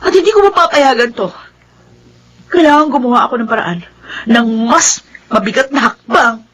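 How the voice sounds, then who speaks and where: An actress playing a scheming, hot-headed young Filipino older sister in a radio drama, insistent and commanding. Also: female voice, sits high, normal speed, a bit bright, husky. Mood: resentful, determined.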